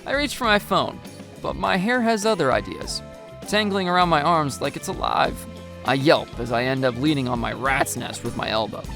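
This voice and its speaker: Very low-pitched woman narrating a story. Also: expressive, normal speed, creaky, music in the background. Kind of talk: first-person scripted story narration.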